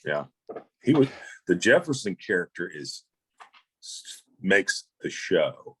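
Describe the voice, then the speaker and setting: A man's voice: deep voice, very animated, takes it slowly, a bit bright, breathy edge, no echo. A man with an American accent co-hosting a casual chat, talking like an enthusiastic fan and emphatically repeating phrases. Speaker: show co-host.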